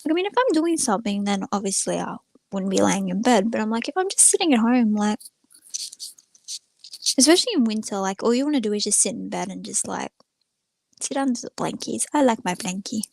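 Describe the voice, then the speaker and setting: A woman's voice: medium pitch, expressive, brisk, creaky, darkish tone, no echo. A young woman chatting casually to viewers on a livestream in a rambling, informal, self-effacing way. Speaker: live streamer.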